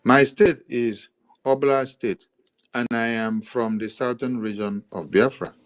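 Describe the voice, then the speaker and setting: A man with a Nigerian accent giving an explanatory monologue, listing items in a steady, repetitive rhythm with occasional hesitations. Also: medium pitch, unhurried. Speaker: commentator presenting a map.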